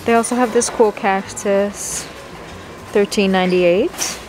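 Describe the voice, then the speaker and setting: A woman's voice: mid-range voice, fairly even intonation, a little slow, a little mellow, low-quality recording. A woman giving casual, chatty shopping vlog commentary, appreciative and half talking to herself as she browses, recorded on a handheld camera in a large store garden center. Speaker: vlogger, host. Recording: clear store background noise, ventilation hum.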